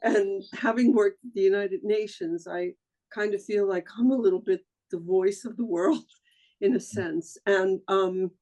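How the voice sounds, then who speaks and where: A woman from Argentina on a video call, speaking earnestly and haltingly with many pauses and fillers. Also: mid-range voice, warm tone, low-quality recording. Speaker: audience member on a video call. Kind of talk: casual chat.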